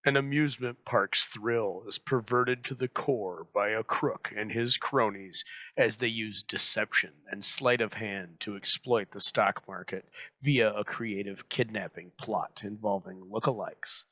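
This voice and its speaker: A man hosting with scripted, deliberate, slightly theatrical narration. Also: lowish voice, pushed and bright, no echo, low-quality recording. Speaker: host introducing old radio shows.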